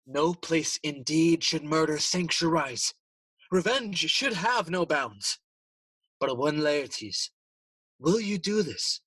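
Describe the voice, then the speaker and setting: Sly murderous king, kinda high husky voice, theatrical shakespeare radio play. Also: lively intonation, fairly bright tone, dry recording, exaggerated voice, poor audio. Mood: goading, conspiratorial. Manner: theatrical, persuasive, deliberate. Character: scheming, smooth-talking king plotting murder. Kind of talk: bilingual Shakespearean audio play acting.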